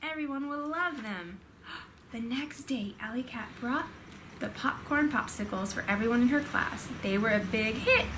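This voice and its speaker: A woman with a slightly high voice reads a children's storybook aloud. Her delivery is expressive, pitched for a small child. Clear background noise runs behind her. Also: normal speed.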